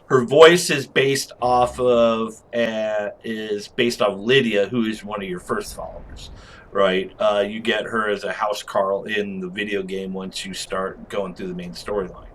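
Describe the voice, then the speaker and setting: A man's voice: fairly low pitch, fairly even intonation, unhurried, a bit bright, a little hiss or hum, poor audio. A man giving casual, unscripted game commentary as a review host, rambling along in slang with chuckles.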